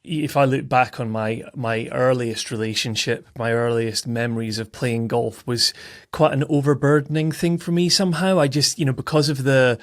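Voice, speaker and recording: A man in his thirties from Scotland speaks as a podcast guest. He tells his story in an earnest, reflective way and often restarts his sentences. His voice has a medium pitch and a dry, close sound. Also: steady tone, moderate pace, no echo. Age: 30s. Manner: thoughtful, earnest, with self-corrections and fillers.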